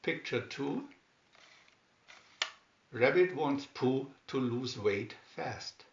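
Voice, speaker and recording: Slow, animated male storybook reader in a roomy space. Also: medium pitch, slight background noise. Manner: deliberate, with emphatic swoops on key words. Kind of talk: scripted children's story narration, read aloud slowly and expressively. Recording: dull, roomy home recording.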